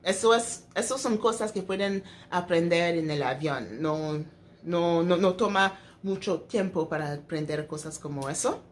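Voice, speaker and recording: A woman's voice: lowish voice, a little slow, a little hiss or hum. A young woman vlogger talking casually to camera, hesitant, with many fillers and restarts, speaking as a native English speaker who learned the language later. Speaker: travel vlogger.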